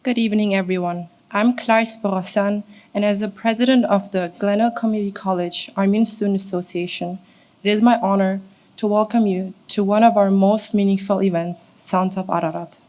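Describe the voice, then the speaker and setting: A woman's voice: mid-range voice, fairly even intonation, a little fast, dark tone. A young adult woman giving a formal welcome speech as a student host at an event. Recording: faint background noise, clicks.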